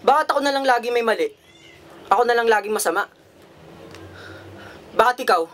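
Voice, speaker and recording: A man's voice: extremely high pitch, expressive, a little fast, a bit bright. A man acting a defensive, aggrieved migrant-worker husband in a radio drama. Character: defensive, aggrieved husband back from working abroad. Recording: noisy amateur radio drama recording.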